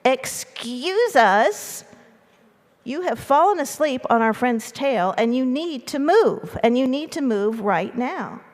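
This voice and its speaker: A woman's voice: sits high, lively intonation, slow, pitched above the performer's own voice. A woman telling a story, voicing a small, loyal, determined male mouse calling out insistently. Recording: echoey hall with long reverb.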